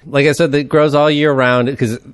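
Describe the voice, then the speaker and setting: A man's voice: a little high, steady tone, moderate pace, no echo, studio quality. A man hosting a casual chat, explaining things in a rambling way with many restarts and fillers. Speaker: podcast host. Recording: talk over a song playing.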